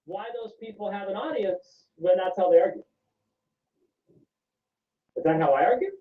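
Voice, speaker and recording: A man's voice: sits high, animated, moderate pace. A man making sarcastic remarks in short questions, heard in a played clip. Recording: tinny echoey clip played back.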